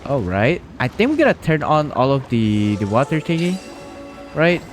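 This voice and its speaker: A man's voice: medium pitch, very animated, moderate pace, soft background music. A man streaming live gameplay, chatty and playful, talking to himself as he plays. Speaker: game streamer.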